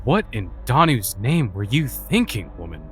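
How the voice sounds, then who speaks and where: A man's voice: mid-range voice, very animated, normal speed, close to an everyday voice. An actor playing a scornful, exasperated male warlock in a fantasy audio drama, scolding and sarcastic. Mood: angry, scolding. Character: irritable, scornful male dark magician and warlock. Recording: music behind the voice. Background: music.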